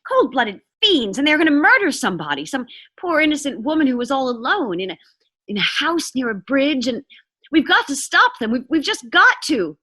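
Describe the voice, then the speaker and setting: An anxious, demanding housewife acted by a woman in an old radio thriller. Her voice runs high and swings dramatically, fretful and insistent. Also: big pitch swings, moderate pace, bright, forceful tone, full character voice. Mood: agitated, insistent. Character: anxious, demanding, sickly housewife alone at home. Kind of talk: 1940s radio thriller acting.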